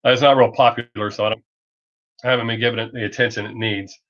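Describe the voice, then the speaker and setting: A man's voice: medium pitch, level tone, fairly bright tone. A middle-aged man with a Southern American accent and a drawl he talks over, chatting casually and bluntly on a livestream, rambling and joking. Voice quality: Southern drawl masked by fast talk. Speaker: reaction video creator hosting a live stream. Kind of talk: casual livestream chat, off the cuff. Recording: dull lo-fi livestream audio.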